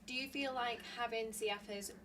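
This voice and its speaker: A woman's voice: on the high side, fairly even intonation, fairly quick, background noise. Woman asking long thoughtful questions. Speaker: co-host interviewer. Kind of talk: casual chat.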